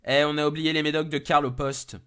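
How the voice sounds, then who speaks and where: A man's voice: a little high, flat delivery, fairly quick, bright, forceful tone, clean tone. A man voicing an anxious young rescuer in an amateur horror story, casual and hesitant in manner. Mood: casual, hurried.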